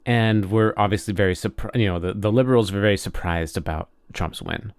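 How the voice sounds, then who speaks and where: A man's voice: fairly low pitch, a little fast, clear, resonant tone. An American man co-hosting a podcast in a casual chat, speaking frankly with the odd swear word. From United States. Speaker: podcast co-host.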